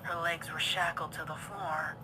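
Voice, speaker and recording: A woman reading a horror story as narrator in a dramatic, suspenseful, breathy way. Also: sits low, flat delivery, moderate pace, poor audio. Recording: loud music under the voice.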